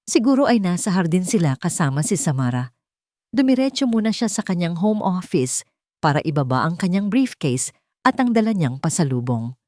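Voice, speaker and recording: Woman storyteller, lowish voice, dramatic scripted narration, very animated intonation, dry recording. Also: dry recording.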